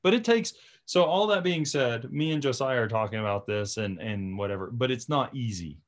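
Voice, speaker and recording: A man speaks as a tree service owner in relaxed, informal conversation. His voice sits at an average pitch, and he talks through work stories in an everyday, chatty way. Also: normal speed. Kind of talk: casual chat, storytelling from work.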